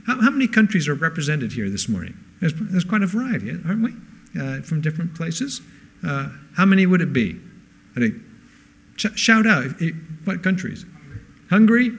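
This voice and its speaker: A man born in Spain with a mixed international accent, preaching to a congregation with frequent rhetorical questions. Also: high-pitched. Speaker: pastor. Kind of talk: sermon. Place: church service.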